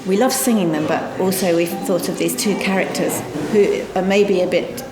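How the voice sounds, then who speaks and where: Lowish-voiced woman, echoey room. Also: moderate pace. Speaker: comic musical performer. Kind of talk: casual interview. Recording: echoey room, music behind.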